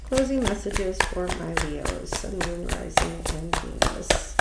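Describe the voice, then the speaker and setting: A woman giving a casual tarot card reading, speaking slowly, a little nasal and stuffy from allergies, musing aloud with ums and restarts. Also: on the low side, animated, vocal fry, reverberant, very noisy. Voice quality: slightly nasal. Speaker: tarot reader.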